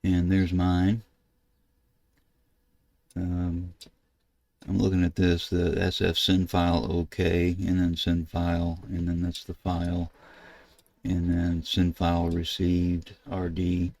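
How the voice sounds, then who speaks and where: An older American man narrating a casual screen-share tutorial, thinking aloud in a halting way as he works at his computer. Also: deep voice, monotone, darkish tone, dry recording. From United States. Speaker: ham radio video host. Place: indoors at a computer desk.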